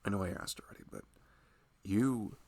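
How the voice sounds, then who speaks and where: A man playing a loving, protective husband in an intimate ASMR roleplay, soft-spoken and chatting in a rambling, casual way. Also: fairly low pitch, moderate pace. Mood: tender, concerned.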